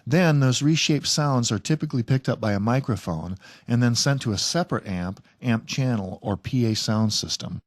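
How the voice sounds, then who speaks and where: A man's voice: mid-range voice, normal speed, hardly any pauses, dry recording. A man presenting a casual DIY tutorial in a plain-spoken, lightly wry way. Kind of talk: casual how-to narration.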